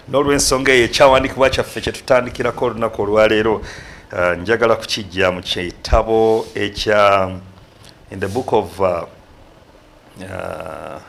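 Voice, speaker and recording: A man's voice: on the low side, vocal fry, fairly bright tone. Guy hosting a church talk show, switches between luganda and english, bit of faint room noise. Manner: mixes Luganda and English, preacherly. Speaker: host.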